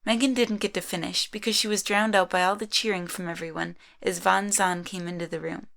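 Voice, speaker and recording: A woman narrator reads a scripted adventure story. Her voice is a little low, with noticeable fry running through it. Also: fairly even intonation, a little fast, a bit bright.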